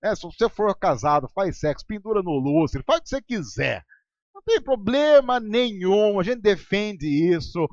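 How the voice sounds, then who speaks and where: A man's voice: high voice, big pitch swings, normal speed, darkish tone, no room sound, highs cut off. A man preaching a sermon as pastor in a Brazilian Portuguese accent, talking conversationally with sarcastic asides and ironic voices. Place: church hall.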